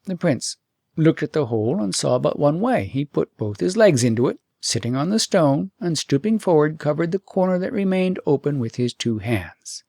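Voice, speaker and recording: A man reading a fairy tale as an audiobook storyteller, in an expressive, measured storybook delivery. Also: fairly high pitch, vocal fry, no echo, studio quality.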